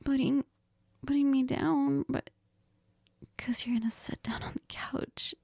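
A woman's voice: high voice, fairly even intonation, a little slow, darkish tone, no echo. A woman playing a needy, cuddly girlfriend in an intimate audio role-play, whispery and tender, with hesitant murmurs. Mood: soft, pleading. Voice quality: breathy, whispery. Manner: hesitant, giggly, tender, murmuring with Spanish endearments. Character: needy, cuddly, affectionate girlfriend who missed her partner.

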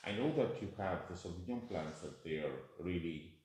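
A middle-aged man with an Italian accent puts leading questions in careful, non-native English. His voice sits low for a man. It echoes heavily around a bare indoor room and is caught by a distant camera. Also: expressive, normal speed, a little mellow, slight background noise. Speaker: interviewer. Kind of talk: interview questions. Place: bright tasting room, indoors.

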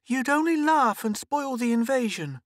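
Man doing a squeaky, commanding seven-year-old girl, storybook reading, very high. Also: expressive, moderate pace, no echo, clean studio sound. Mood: bossy. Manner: breathless, commanding.

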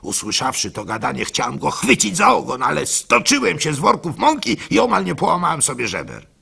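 A man telling a literary story in the first person, theatrical and expressive, with varied intensity. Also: a little high, brisk, gravelly, breathy edge.

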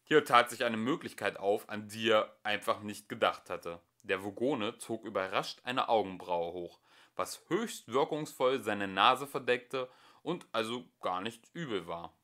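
A young man reading an audiobook aloud in an expressive way, recorded at home. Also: on the low side. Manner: slow and expressive. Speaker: audiobook reader. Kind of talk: amateur audiobook narration.

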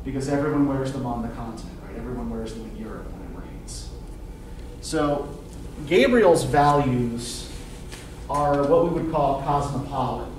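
A man's voice: mid-range voice, moderate pace. Casting: man, middle-aged college lecturer, questioning delivery, distant mic in a very reverberant classroom. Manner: questioning, often checks with "right?". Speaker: university literature lecturer. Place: classroom, indoors. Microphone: distant camera microphone, speaking through face mask. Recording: roomy classroom from far off, faint noise.

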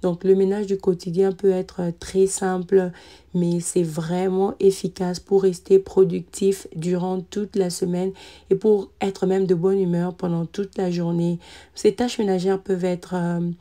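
A woman in her thirties, a mother, narrating a cleaning vlog in a calm, relaxed voice-over with frequent hesitant fillers. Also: fairly low pitch, unhurried. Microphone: voice-over recorded separately.

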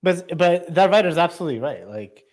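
A man, around his thirties, a show co-host, chatting casually with a deadpan delivery. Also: a little high, fairly quick. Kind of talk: casual chat. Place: indoors on a video call.

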